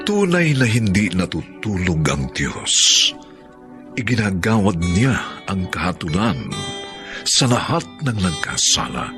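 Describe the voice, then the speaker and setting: A man with a Filipino accent narrating a radio drama from a script in a solemn, measured way. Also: deep voice, big pitch swings, over music.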